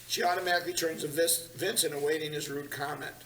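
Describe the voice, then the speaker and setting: A woman's voice: deep voice, steady tone, fast. A woman narrating a screenplay at a table read, plainly reading the stage directions with small stumbles. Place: large meeting room. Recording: echoey room, distant mic, faint noise.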